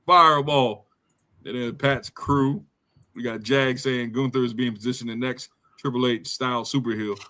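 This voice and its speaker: A man in his thirties talks loosely at a middle pitch, with a relaxed slangy flow. He laughs a lot and slips into quick impressions of people. It sounds like a casual home podcast. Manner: slangy, laid-back, laughs often, mimics people. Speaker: podcast host. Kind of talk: casual live-stream chat reading comments.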